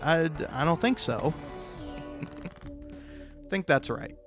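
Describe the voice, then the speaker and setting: A man with a slightly high voice talks over faint background music. He rambles through a comedic monologue, tripping over and repeating words as he interrupts himself. His delivery is sweary and self-deprecating. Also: sing-song, extremely slow. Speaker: video maker.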